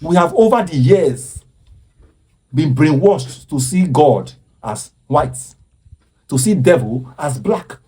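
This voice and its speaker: Dark husky man preaching earnestly. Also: on the high side, expressive, slow. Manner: earnest, preaching, stops to repeat himself. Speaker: commentator. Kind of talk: impassioned social commentary.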